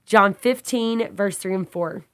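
A woman leads a Bible study with a stuffy, slightly raspy voice from a cold. She talks earnestly and steadily, repeating and restating her points as she builds them. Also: mid-range voice, unhurried. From Texas. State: congested, a little raspy.

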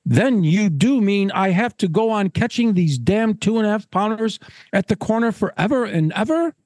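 A man voicing a presumptuous, grumbling older gentleman angler in a spoken fishing fable. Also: high-pitched, expressive, moderate pace, no room sound, clean studio sound, pitched above the performer's own voice. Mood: exasperated. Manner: pompous, grumbling. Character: presumptuous old fat-cat angler, blustering and exasperated. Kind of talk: acted storytelling of a fishing fable.

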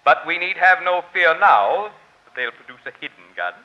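A man's voice: on the high side, very animated, normal speed, highs cut off. A man playing a small, fussy, pompous would-be crime-fighter with florid formal diction in an old-time radio drama. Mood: self-satisfied, precise. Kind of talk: 1940s radio acting.

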